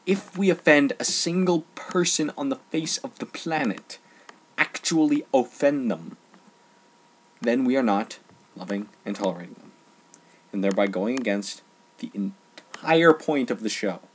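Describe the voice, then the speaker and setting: A man delivering an informal opinion rant, emphatic and halting, often repeating phrases for stress. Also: medium pitch, lively intonation, slight background noise, rough recording. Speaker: online ranter.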